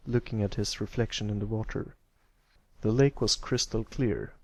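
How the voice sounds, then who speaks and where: A man's voice: lowish voice, no room sound. A man reading a story aloud as an amateur narrator, in scripted storytelling style. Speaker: amateur fan fiction narrator.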